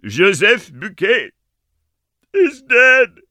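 A man voicing a stout, agitated middle-aged woman in a storybook reading, loud and breathless. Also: sits high, wildly swooping pitch, extremely slow, dry recording, voice pushed up, exaggerated voice. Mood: horrified.

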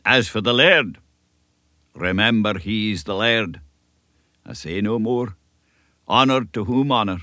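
A man's voice: fairly low pitch, takes it slowly, long pauses, bright, forceful tone, no echo, clean studio sound. A man voicing an earnest, pious old country minister, speaking solemnly. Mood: admonishing. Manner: solemn, sermonizing.

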